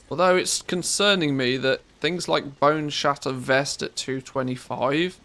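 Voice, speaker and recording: A man's voice: mid-range voice, creaky, fairly bright tone. A man with an English accent and a scratchy, harsh voice, chatting casually to his live-stream audience into a good studio microphone, rambling and correcting himself as he goes. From England. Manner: rambling, self-correcting, musing to chat. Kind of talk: casual live-stream chat while playing.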